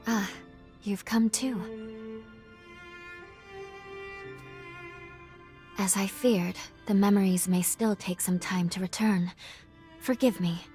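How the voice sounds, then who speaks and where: A woman acting a gentle, solemn young female guide in a video game cutscene, speaking in a formal, earnest, measured way. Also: medium pitch, lightly acted. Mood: gentle, grave. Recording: music under the voice. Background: background music.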